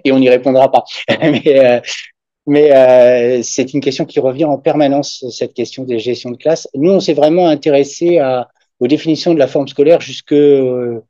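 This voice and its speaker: A man's voice: mid-range voice, mellow, no room sound. A man whose first language is German, presenting academic research and answering questions with many hesitations and fillers at a university conference. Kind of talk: academic discussion after a talk. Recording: dull muffled sound.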